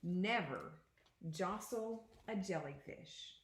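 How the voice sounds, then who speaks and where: A woman teacher giving an interactive read-aloud for young children, reading deliberately and pausing to put questions to her listeners. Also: on the low side, lively intonation, roomy sound, slight background noise. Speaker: second grade teacher.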